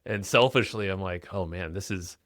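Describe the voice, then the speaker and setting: A man's voice: deep voice, moderate pace, a bit bright, dry recording, clean studio sound. A man hosting a podcast interview, upbeat and chatty, often repeating words as he starts sentences. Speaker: podcast host. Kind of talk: casual podcast interview with ad read.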